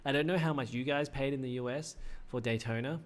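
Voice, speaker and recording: Male streamer, 30s, mid-pitched, chatty and rambling, voice slightly worn. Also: a little fast. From Australia. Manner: rambling, self-correcting, frequent "uh" fillers. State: slightly losing his voice. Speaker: livestream host. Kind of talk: casual livestream commentary.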